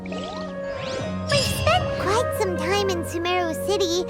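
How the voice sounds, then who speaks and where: A woman voicing a tiny childlike fairy companion in a video game, perky and chatty, with a squeaky, exclamatory delivery. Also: very animated, takes it slowly, loud music behind the voice, full character voice. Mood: perky, helpful. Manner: squeaky, exclamatory, refers to self in third person. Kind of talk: scripted video game character dialogue.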